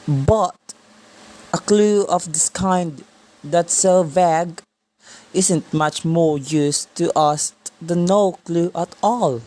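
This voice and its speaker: A stolid police officer acted by a woman in a radio drama, with a low, mellow voice. The delivery is slow and patronizing, weary and dismissive of the caller. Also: low-quality recording. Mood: matter-of-fact. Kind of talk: radio play acting.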